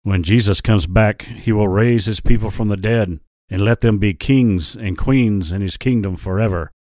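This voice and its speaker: A man voicing a big, kindly male lion in a children's story, speaking in slow, earnest warnings. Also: on the low side, a little mellow, no echo, natural voice. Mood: gentle solemn. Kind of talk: storybook character voice.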